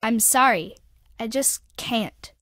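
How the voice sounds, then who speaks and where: A woman's voice: fairly high pitch, slow pace, a bit bright, no room sound, studio quality. A woman voicing a hurt, questioning young daughter in a video game, with a plaintive manner. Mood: hurt, pleading. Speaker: voice actor.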